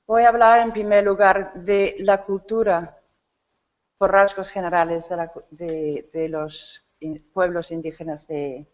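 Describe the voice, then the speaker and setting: A slow, deliberate lecturer working through a slide talk in a conference hall. The speech halts often, with restarts and self-corrections while searching for words. The recording sounds dull and lo-fi. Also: medium pitch, level tone. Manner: searches for words in a rusty second-nature language. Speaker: symposium speaker.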